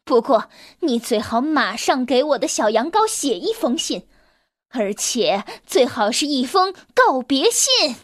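A woman's voice: a little high, big pitch swings, measured pace, husky, dry recording, clean studio sound, heavily acted. A woman voicing a protective mother sheep who is indignant and demanding. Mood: furious.

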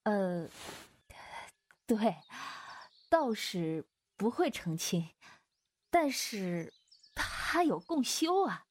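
A woman's voice: medium pitch, sing-song, very slow, long pauses, breathy edge, full character voice. An actress playing an easygoing, apologetic Taoist swordswoman in a wuxia audio drama, speaking in a hesitant, roundabout way. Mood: sheepish, hedging.

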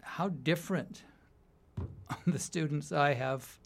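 A man's voice: on the high side, slow, gravelly. A man from the United States, a university writing instructor, giving thoughtful interview answers that often restart mid-sentence and break into light laughs.

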